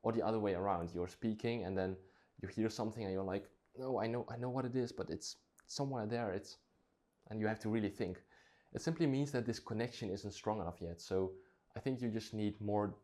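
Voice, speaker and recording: A man's voice: fairly low pitch, a little fast, dark tone. A Dutch-native man talking casually to camera, reflective and thinking aloud with frequent restarts. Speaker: vlogger.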